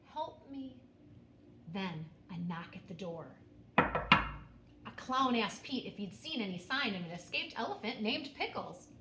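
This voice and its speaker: Animated woman reading a storybook aloud, roomy echo. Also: medium pitch. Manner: dramatic, with pauses and emphasis on key words. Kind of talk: expressive children's read-aloud narration. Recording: roomy echo, faint background noise.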